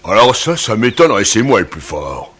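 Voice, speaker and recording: A man's voice: on the low side, very animated, moderate pace, fairly bright tone, slight background noise. An actor playing a boastful, strong cave man hunter in a cartoon dub. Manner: boastful and indignant.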